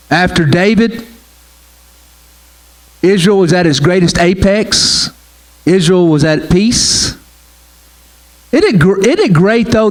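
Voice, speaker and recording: A man's voice: high-pitched, rough recording. A middle-aged man preaching a sermon in a conversational way, repeating his phrases and often saying "man" and "right?". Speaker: pastor.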